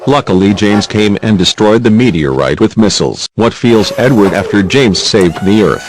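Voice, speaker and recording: Deep-voiced, lively male narrator. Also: normal speed, noisy background. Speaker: narrator of a children's quiz video. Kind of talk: scripted narration.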